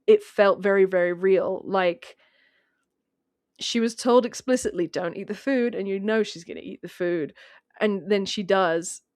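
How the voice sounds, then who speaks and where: A woman's voice: medium pitch, unhurried, darkish tone, no echo. Woman chatting casually with very dry, close sound. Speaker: podcast guest discussing a film. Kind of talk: casual film discussion.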